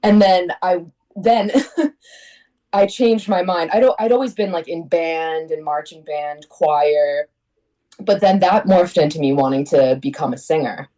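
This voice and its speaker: A young woman from Connecticut chats casually as an interview guest. Her voice sits on the low side and sounds mellow and warm. She rambles, restarts her sentences, and laughs now and then. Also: a little slow. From Connecticut, USA. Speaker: singer-songwriter guest.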